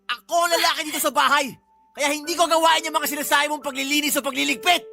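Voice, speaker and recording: High-pitched, domineering Filipino stepfather. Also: steady tone, quick pace, gravelly, sharp tone, soft background music, heavily acted. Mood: angry, bullying. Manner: confrontational, domineering. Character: belligerent, boastful live-in stepfather, quick to bully. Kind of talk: radio drama acting.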